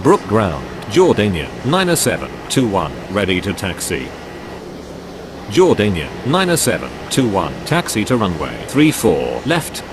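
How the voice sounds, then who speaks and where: A man playing a businesslike aviation radio operator, reading out scripted clearances and calls. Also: on the low side, huge pitch swings, unhurried. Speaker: air traffic radio voice. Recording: clear outdoor vehicle-like noise.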